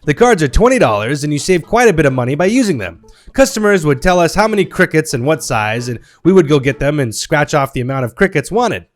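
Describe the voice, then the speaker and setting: Lively man reading stories, animated pitch. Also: quick pace. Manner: lively, playful storytelling with a wry tone. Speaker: narrator reading Reddit stories.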